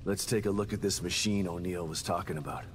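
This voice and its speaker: Man playing a deep, weary action hero. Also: steady tone, slight background noise, lightly acted. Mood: grim questioning. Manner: terse, brooding, slow. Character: weary, guilt-ridden gruff male action hero. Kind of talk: dramatic video game acting. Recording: music behind the voice.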